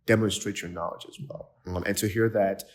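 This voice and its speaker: A man from Nigeria hosts a casual podcast chat in a fairly low voice. He talks eagerly, with plenty of "like" fillers and repeated false starts as he builds his point. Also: steady tone, fairly quick. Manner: enthusiastic, many "like" fillers and restarts. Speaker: podcast host.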